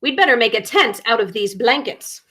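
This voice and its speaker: Woman as a bright-voiced brave young bear. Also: fairly high pitch, lively intonation, moderate pace. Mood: reassuring. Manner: reassuring, determined, encouraging. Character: brave, kind young male bear in a storybook.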